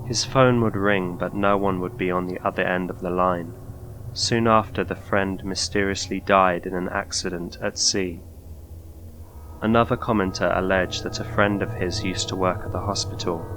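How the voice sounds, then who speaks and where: A man narrating a scripted ghost story in documentary style, with measured, formal delivery. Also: low voice, fairly even intonation, a bit bright, slight background noise, low-quality recording.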